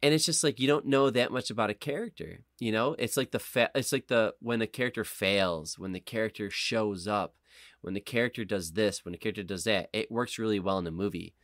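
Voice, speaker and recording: A man co-hosting a podcast in casual, opinionated chat, emphatic, rambling and quick to swear. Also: lowish voice, a bit bright, dry recording, clean studio sound. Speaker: podcast co-host. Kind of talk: casual chat, opinionated film and game discussion.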